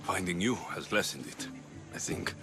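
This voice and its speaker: A young actor playing a steady, earnest mercenary hero in a video game cutscene. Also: male voice, sits low, moderate pace. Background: music.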